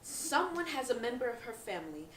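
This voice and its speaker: An actress playing a brash, dramatic young woman roommate in a live stage comedy, sassy and loud. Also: fairly high pitch, sing-song, fairly quick. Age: young adult. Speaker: stage actor. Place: small theatre stage. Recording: roomy stage, distant mic, faint noise.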